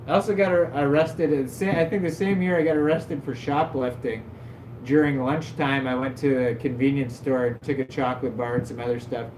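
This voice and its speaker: A man's voice: mid-range voice, steady tone, fairly quick, a little mellow. Guy chatting on his stream, mid voice, rambly and self-mocking, echoey room. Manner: rambling, loose, peppered with "like" and self-mocking laughs. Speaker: livestream host. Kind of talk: casual stream chat, storytelling. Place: at his desk at home. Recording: echoey room, faint background hum.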